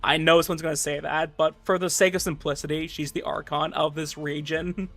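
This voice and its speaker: A man with a fairly high-set voice talks to his livestream audience. He is conversational and rambles loosely from thought to thought. Soft music plays faintly behind him. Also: level tone, brisk. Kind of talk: casual chat with stream viewers. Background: background music.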